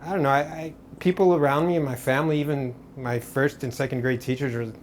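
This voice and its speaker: A man from Portugal, an artist guest, talking about his work in a relaxed, rambling way with many restarts. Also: medium pitch, quick pace, slight background noise. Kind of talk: casual interview.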